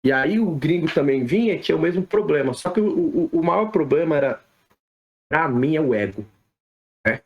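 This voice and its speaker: A man from Brazil, a physiotherapist guest on a podcast, answering questions in a relaxed, conversational way with frequent tag questions and restarts. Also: on the high side, normal speed, dull audio. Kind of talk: casual podcast interview answers.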